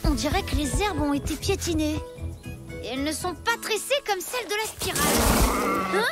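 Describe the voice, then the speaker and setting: A woman playing an earnest, curious and brave prehistoric child in a French-dubbed children's cartoon, expressive and childlike. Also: sits high, moderate pace. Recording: loud music behind the dialogue.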